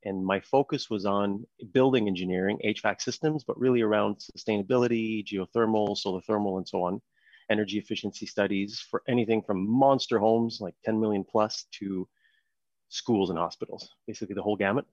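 French canadian guy on a podcast, lowish voice, kinda muffled dry audio, lots of ums. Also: brisk, dry recording. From Montreal, Canada. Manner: relaxed storytelling with frequent ums and restarts. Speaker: podcast guest, company co-founder. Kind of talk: casual interview talk.

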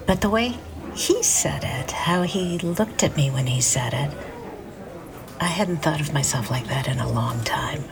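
Middle-aged woman, wry and guarded businesswoman for a dark-comedy podcast drama. Dry delivery, gravelly edge. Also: sits low, expressive, a little slow, a crowd in the background, full character voice. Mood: wry, confiding. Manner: dry, self-deprecating. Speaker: lead actress and narrator. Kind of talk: confessional first-person narration and dialogue in audio drama.

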